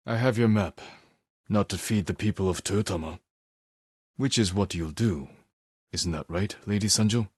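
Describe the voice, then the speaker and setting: A stern, honorable young samurai warrior from a dramatic video game. His voice is deep and husky, close and dry. He speaks in terse, measured lines with restrained, weary resolve. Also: darkish tone, dry recording. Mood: firm, measured. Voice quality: low, husky voice.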